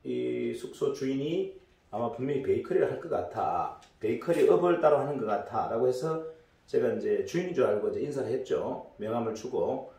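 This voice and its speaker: A hoarse middle-aged man giving a casual business lecture as a story, rambling with many fillers and restarts. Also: mid-range voice, expressive, a little fast, dark tone. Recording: echoey room, distant mic.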